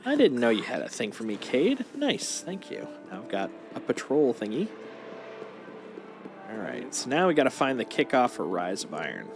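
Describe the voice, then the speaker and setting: Casual male game streamer, medium pitch. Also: wildly swooping pitch, normal speed. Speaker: game livestreamer. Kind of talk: casual chat while playing. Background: game music.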